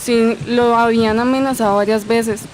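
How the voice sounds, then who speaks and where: Fairly high-pitched mother in noisy surroundings, acted. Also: fairly even intonation, normal speed, darkish tone, close to an everyday voice. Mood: lamenting. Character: motherly Colombian woman lamenting a disastrous day. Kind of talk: amateur radio drama.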